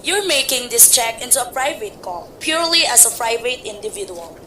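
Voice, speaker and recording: A woman's voice: on the high side, lively intonation, sharp tone. A woman playing a prim telephone supervisor. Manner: measured, formal, bureaucratic. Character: calm, officious female telephone supervisor. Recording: noisy amateur recording.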